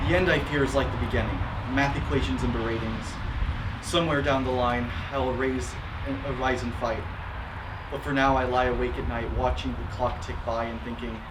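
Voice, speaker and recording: Man acting as pompous angsty slam poet, far-off. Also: lightly acted. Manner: pompous, declamatory. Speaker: poet performing on stage. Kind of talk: slam poetry recital. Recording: distant mic, clear ambient rumble.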